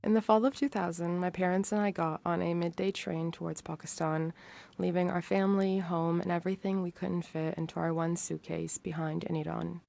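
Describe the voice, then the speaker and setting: A young woman reads documentary narration in a measured, formal tone. Her voice is somewhat low and warm, with a flat melody and a gravelly fry. The recording is dry and close. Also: dry recording. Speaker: podcast host and narrator.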